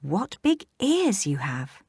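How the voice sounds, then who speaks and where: A woman's voice: mid-range voice, wildly swooping pitch, takes it slowly, husky, no room sound, pitched above the performer's own voice, heavily acted. Woman doing sweet storybook little girl, sing-songy, dry close sound. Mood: wondering. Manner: bright, eager, sing-song.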